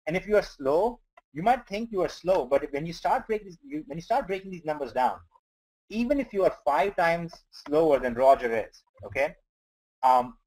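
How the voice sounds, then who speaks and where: Man from india, non-native english speaker, webinar trainer, slightly high voice, earnest coaching. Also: darkish tone, low-quality recording. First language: not English. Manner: earnest, coaching, breaks numbers down step by step. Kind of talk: motivational webinar talk.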